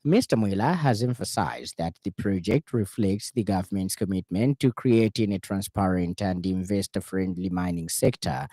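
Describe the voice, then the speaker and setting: Zambian guy reading the radio news, lowish mellow voice, formal and measured, super dry sound. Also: no echo. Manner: measured, formal newsreader delivery.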